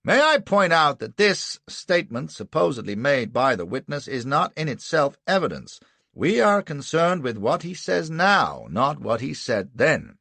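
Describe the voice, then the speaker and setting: A man voicing a pompous, blustering defense lawyer in a courtroom, booming and overbearing. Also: very animated, a little slow, vocal fry, pushed and bright, dry recording, full character voice. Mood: indignant.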